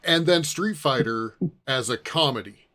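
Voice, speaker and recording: A man's voice: medium pitch, animated, unhurried, bright, forceful tone, slight background noise. A man co-hosting a podcast in a loose, casual chat with others. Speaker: podcast co-host.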